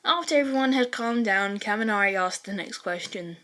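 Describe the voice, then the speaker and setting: A woman's voice: medium pitch, moderate pace, fairly bright tone. A woman reading a fan fiction story aloud as scripted narration.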